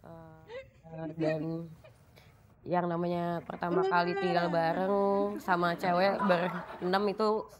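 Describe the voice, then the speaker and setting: A woman's voice: on the low side, flat delivery, slow, long pauses, a loud crowd. A young woman guest on a comedy show, talking candidly and a bit sassily about her housemates. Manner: candid, chatty, a little sassy. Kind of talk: casual chat on a comedy show.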